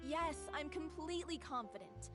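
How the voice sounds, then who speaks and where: A woman's voice: high voice, expressive, normal speed, over music. A woman playing a determined, devoted young stage actress in an anime game, speaking in an earnest, articulate way. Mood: earnest, confident.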